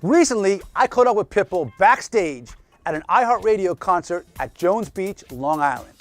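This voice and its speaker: High-pitched, animated male TV host. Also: moderate pace, soft background music. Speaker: TV show host and interviewer. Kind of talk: presenting and interviewing on a TV entertainment show.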